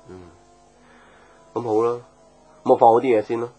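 A man's voice: mid-range voice, little pitch movement, normal speed, close to an everyday voice. An actor playing a patient, devoted young man in love, earnest and reflective, in a Hong Kong Cantonese radio drama. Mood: affectionate. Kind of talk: radio drama dialogue and inner monologue. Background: background music.

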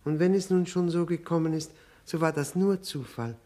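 An actor playing an obsequious, self-abasing widower in a 1960s German radio drama, halting, apologetic and overly polite. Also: male voice, on the high side, warm tone, lightly acted. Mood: evasive, halting.

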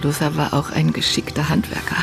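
A woman's voice: low voice, completely flat delivery, a little fast, creaky, over loud music. An actress playing a sombre, guarded sister recounting her brother's life in a radio drama. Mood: reflective. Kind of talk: German radio drama acting.

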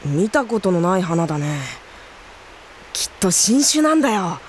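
A woman's voice: on the low side, very animated, unhurried, a little hiss or hum. A woman voicing a cheeky, easily flustered teenage younger brother in a Japanese anime audio drama.

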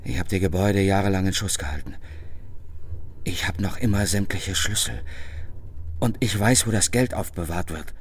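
An actor playing a middle-aged, embittered and self-loathing racetrack technician in a German radio crime drama, confiding in an edgy, rambling way. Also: male voice, low voice, normal speed, long pauses, breathy edge. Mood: bitter, confiding.

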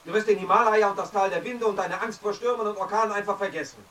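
An actor playing a loyal, concerned husband and best friend in a radio drama, speaking in a calming way. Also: male voice, high voice, fast talker, far from the mic, background noise, close to an everyday voice. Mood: reassuring. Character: loyal, reassuring married man, best friend of a troubled caller. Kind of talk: radio play acting.

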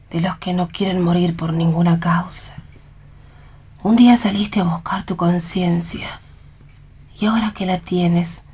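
Radio play role: calm, wise street vendor, extremely husky and breathy, slow, admonishing delivery. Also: female voice, lowish voice. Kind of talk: read radio theatre.

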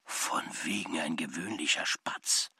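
Ein Mann erzählt in einem Hörspiel theatralisch und spöttisch. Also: male voice, rumbling low, a little slow, sharp tone, husky, dry recording, clean studio sound, exaggerated voice. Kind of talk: dramatic radio play narration.

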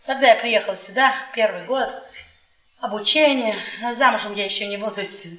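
An actress playing a bitter, domineering middle-aged professor's wife in a radio play, drunk, theatrical and mocking, drawing out key words. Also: female voice, mid-range voice, brisk, sharp tone, lightly acted. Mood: nostalgic confiding. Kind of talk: Soviet radio theatre acting. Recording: echoey 1980s stage-like radio play, distant.

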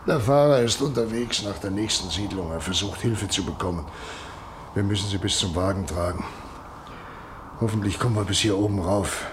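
An actor playing a practical, concerned country doctor in a German radio play, reasoning insistently and urgently. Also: male voice, fairly low pitch, moderate pace, close to an everyday voice. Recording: noisy radio play with loud ambience.

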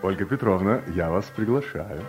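An actor playing a smooth, pushy, sociable deputy boss with a persuasive manner in a vintage radio play. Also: male voice, low-pitched, animated, moderate pace, music in the background. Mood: playful, familiar. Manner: ingratiating, persuasive, familiar. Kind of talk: Soviet radio play acting.

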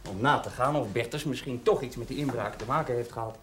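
An actor playing a blunt, stern village lawman in naturalistic television drama dialogue, matter-of-fact and husky. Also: mid-range voice, fast talker, fairly bright tone, slight background noise, lightly acted.

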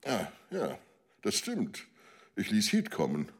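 Gruff, blustering government minister, swooping low voice. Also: male voice, huge pitch swings, slow, slight background noise, full character voice. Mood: impatient. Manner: curt, blustering, interjecting. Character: gruff, impatient, imposing senior government minister. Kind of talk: German radio drama acting.

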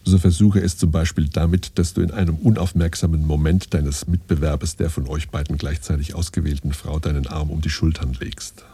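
A man's voice: steady tone, fairly quick, hardly any pauses, exaggerated voice. An actor playing a pompous father who solemnly instructs his son in archaic, admonishing phrases in a radio play. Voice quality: very deep, dark, husky. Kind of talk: scripted radio play monologue.

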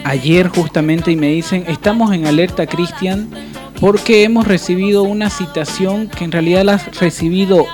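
A man's voice: high voice, a little mellow. A man co-hosts live radio in a conversational presenting style. He sounds like he is introducing a guest on air, with light music in the background. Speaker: radio co-host. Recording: faint music under the voice. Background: faint music.

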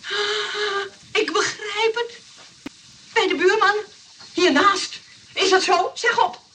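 An actress playing a bossy, excitable but kind-hearted nursing sister in a comic radio play, brisk and scolding, with a Netherlands Dutch accent. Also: female voice, very high-pitched, roomy sound, heavily acted. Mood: indignant. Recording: distant, noisy old radio recording.

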